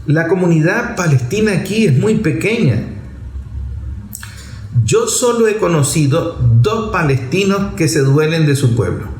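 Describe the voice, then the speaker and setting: A man's voice: a little high, lively intonation, a little slow, darkish tone. A man with a Venezuelan accent giving an unscripted political monologue, speaking emphatically in short, repeated phrases. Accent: Venezuelan Spanish. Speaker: political commentator and activist. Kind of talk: political monologue, spoken off the cuff. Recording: echoey room with faint background noise.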